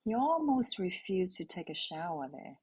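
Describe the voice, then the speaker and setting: A middle-aged woman from Hong Kong, a native Cantonese speaker, telling a personal parenting story in a calm, intimate way and asking her listeners questions. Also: lowish voice, moderate pace, slightly rough. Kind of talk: reflective spoken essay.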